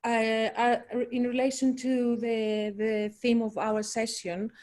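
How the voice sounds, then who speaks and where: A woman from Greece with a Greek accent, a poet talking in a halting, thoughtful way over a video call, often searching for words. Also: on the high side, flat delivery, a little mellow. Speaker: poet, festival guest. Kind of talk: casual conversation.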